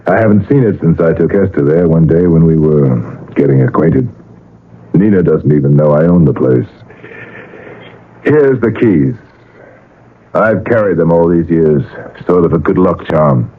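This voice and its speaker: An actor playing an anxious, possessive middle-aged father in a 1970s radio drama, earnest and halting as he pleads. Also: rumbling low, lively intonation, husky, heavily acted. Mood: urgent, persuading. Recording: muffled vintage radio broadcast.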